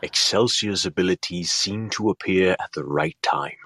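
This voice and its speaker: A man plays a grave, reassuring mentor in an audio drama. His voice is very low and husky, measured and calm, recorded dry. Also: dry recording. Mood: calm counsel. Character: calm, grave male mentor advising a hero.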